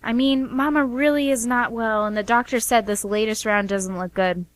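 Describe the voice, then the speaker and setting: A woman's voice: on the high side, fairly even intonation, normal speed, no echo, lightly acted. A woman acting a flustered, defensive young woman in a radio play, chatty and hesitant as she justifies herself. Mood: fretful. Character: defensive, flustered young working woman hiding her profession from family.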